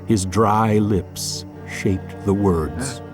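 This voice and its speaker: A man reading scripted literary narration for an audio drama in a measured way. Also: on the low side, over music.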